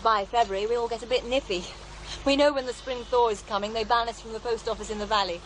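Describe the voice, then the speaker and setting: An actress in an audio drama playing a middle-aged commune healer, wry, earthy and matter-of-fact, gently teasing. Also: female voice, fairly high pitch, steady tone, fast talker, close to an everyday voice. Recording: noisy outdoor ambience bed.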